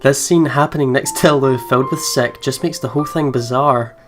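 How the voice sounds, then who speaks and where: A man hosts a let's play, reading story narration aloud and breaking off for fond, giggly commentary. His pitch is middling, and faint music plays behind him. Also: steady tone, brisk.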